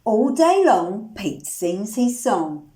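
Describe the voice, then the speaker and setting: A woman reading a picture book aloud to young children, animated and sing-song, putting questions to the listeners and singing the refrains. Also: mid-range voice, slow.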